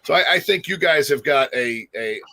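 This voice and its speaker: A man's voice: a little high, animated, moderate pace, sharp tone. A man hosting a casual music interview, talking in an informal, rambling, encouraging way. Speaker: talk show host interviewing a musician.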